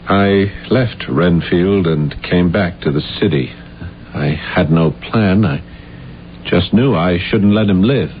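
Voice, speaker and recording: An actor playing a bitter, beaten playwright of forty in a 1970s radio drama, confessing in a brooding, intense way. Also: male voice, sits low, a little hiss or hum. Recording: dull 1970s radio recording.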